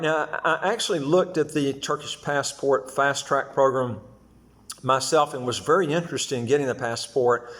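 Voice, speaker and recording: Man explaining in a halting, informal way. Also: mid-range voice. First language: English. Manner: halting, repetitive, stumbles over phrases. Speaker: host of a second-passport advice channel. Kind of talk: informal explanatory talk to viewers.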